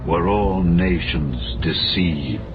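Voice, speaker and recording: Slow, low-voiced man reading scripture. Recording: phone-like sound over music.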